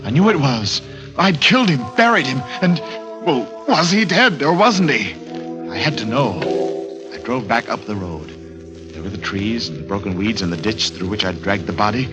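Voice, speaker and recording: A man's voice: medium pitch, big pitch swings, normal speed, natural voice. A man acting a nervous, guilty murderer who narrates his crime tersely in a 1940s radio horror drama. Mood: panicked. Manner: terse, confessional, edgy. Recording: dull vintage radio recording with loud music.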